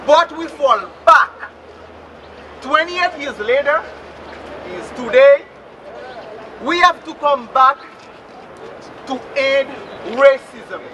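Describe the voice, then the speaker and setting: A man from Haiti giving an impassioned speech at a rally, delivered slowly in short chant-like declarations. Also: extremely high pitch, bright, forceful tone, poor audio. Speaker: rally speaker. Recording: outdoor crowd and street noise.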